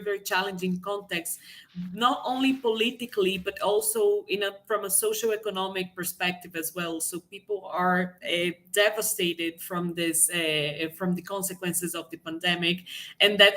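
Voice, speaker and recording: A woman's voice: mid-range voice, a bit bright. A woman speaking as a housing advocate on an online panel, earnest and stressing points by repeating words, heard over a video call.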